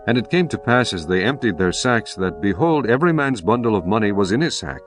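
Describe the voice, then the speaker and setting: A man narrating scripture in a measured, solemn style. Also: on the low side, very animated. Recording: music bed behind voice.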